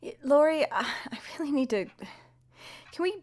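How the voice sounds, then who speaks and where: A woman's voice: high voice, expressive, normal speed, a little mellow. An actress playing a sardonic, stressed woman homeowner who talks with dry, clipped sarcasm. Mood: exasperated. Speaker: lead character.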